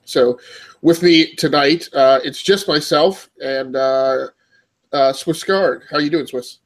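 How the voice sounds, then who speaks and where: A man's voice: a little high, slow. A man hosting a gaming podcast, congested from a cold, talking in a rambling way with many fillers. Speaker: podcast host. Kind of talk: casual podcast chat.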